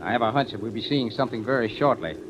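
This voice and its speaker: A man's voice: medium pitch, expressive, racing, close to an everyday voice. An actor playing a confident, hard-boiled male investigator in 1940s radio mystery style, commanding and insistent with clipped delivery. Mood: brisk, commanding. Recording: noisy, muffled old radio recording.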